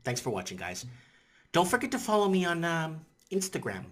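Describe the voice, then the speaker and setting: A man in his thirties whose first language is Portuguese, talking casually to a webcam in a rambling, animated way with lots of repetition and "you know what I'm saying?". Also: fairly high pitch, a little fast. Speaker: vlogger. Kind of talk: casual opinion vlog to camera. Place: indoors in front of a green-screen city backdrop.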